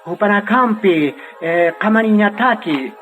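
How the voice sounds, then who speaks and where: An actor playing a courteous, purposeful church pastor in a radio drama, speaking haltingly. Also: male voice, high voice, lively intonation, fairly bright tone, soft background music. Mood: courteous, earnest. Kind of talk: scripted radio drama dialogue. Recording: faintly noisy radio recording.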